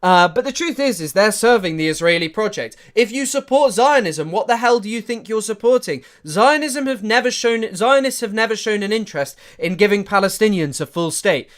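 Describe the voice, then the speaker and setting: A man giving chatty live-stream commentary, animated and full of fillers and restarts. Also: high voice, brisk, few pauses.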